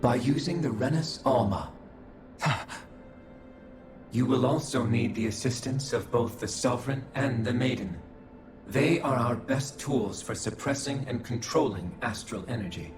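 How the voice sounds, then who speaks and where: A man's voice: medium pitch, measured pace, close to an everyday voice. An actor playing a cold, calculating male observer figure in a video game cutscene, speaking formally and without feeling. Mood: detached. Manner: formal, detached, clinical. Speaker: game character. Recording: echoey, with game music behind.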